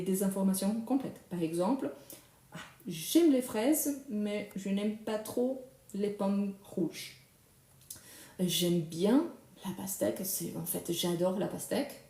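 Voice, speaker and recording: Woman teacher, Brazilian Portuguese native, patient and repetitive, warm tone, roomy echo. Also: medium pitch. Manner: slow, repeating phrases for learners. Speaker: French teacher. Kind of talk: live-streamed French lesson.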